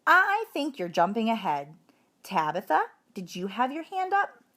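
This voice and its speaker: A woman's voice: a little high, huge pitch swings, measured pace, sharp tone, pitched above the performer's own voice, full character voice. A woman reading aloud as an encouraging, orderly female primary school teacher in a storybook, addressing a class in an instructive way.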